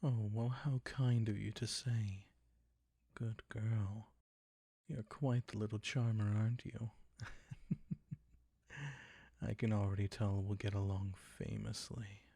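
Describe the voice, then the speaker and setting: A man playing a suave, predatory ancient vampire count in an intimate roleplay audio drama, soft and breathy, courtly and condescending. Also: lowish voice, fairly even intonation, dry recording, clean studio sound, heavily acted. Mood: coy, predatory. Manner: courtly, condescending, slow and savoring.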